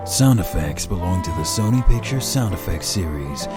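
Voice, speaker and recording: A man's voice: sits low, expressive, normal speed. A man narrating scripted credits in a formal announcer's role. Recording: music under the voice. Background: loud music.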